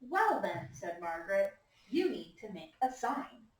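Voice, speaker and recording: A woman teacher reading a picture book aloud to young children, patiently prompting them with questions and gentle instructions. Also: mid-range voice, sing-song, measured pace. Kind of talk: interactive picture-book read-aloud to a class. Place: echoey indoor room with young children. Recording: echoey room, distant microphone.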